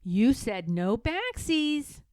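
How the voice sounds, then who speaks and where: A woman voicing a sly, firm young girl in a storybook, speaking with deliberate emphasis. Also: fairly high pitch, very animated, a little mellow, dry recording, clean studio sound, full character voice. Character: young girl, firm, sly, singsong, standing her ground.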